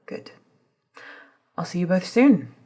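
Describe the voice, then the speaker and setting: A woman playing a composed, authoritative female doctor in a soft-spoken ASMR drama, speaking in a measured, formal, husky way. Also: on the low side, very animated, slight background noise. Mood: grave, controlled. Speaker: voice actor in scripted ASMR roleplay.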